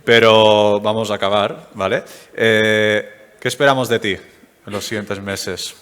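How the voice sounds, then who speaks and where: A man conducts a stage interview in a low-pitched voice, pausing often with fillers as he works toward his questions. The sound carries a long hall reverb. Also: fairly even intonation, fairly bright tone, smooth. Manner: hesitant, with many fillers. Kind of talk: stage interview at a tech event. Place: event stage.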